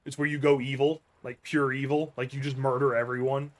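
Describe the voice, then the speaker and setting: A man's voice: medium pitch, level tone, a little fast. A man with a mid-range voice talks through his gameplay in a loose, offhand way. He rambles and backtracks, fixing his words mid-thought as he plays. It sounds like an easygoing stream chat with his viewers. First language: English. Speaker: gaming streamer. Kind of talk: casual let's-play commentary while playing.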